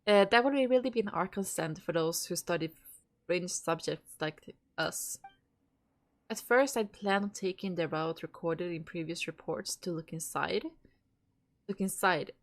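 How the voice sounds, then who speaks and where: A woman's voice: fairly low pitch, unhurried, pauses often. Stuffy-nosed woman streamer reading game text. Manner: reads lines aloud, swears and laughs between. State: stuffy nose. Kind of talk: casual game stream, reading dialogue aloud.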